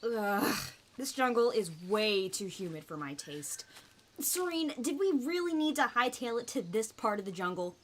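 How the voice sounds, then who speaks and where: A woman's voice: fairly high pitch, sing-song, normal speed, a little hiss or hum. A woman playing a whiny, greedy, superstitious huntress in an audio roleplay, complaining and petulant.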